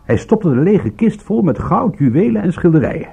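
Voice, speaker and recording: Husky, sardonic private detective. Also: male voice, on the high side, very animated, moderate pace, dark tone, exaggerated voice. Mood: dry, explanatory. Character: sardonic, indignant male private detective, proud of his work. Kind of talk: Dutch radio drama acting.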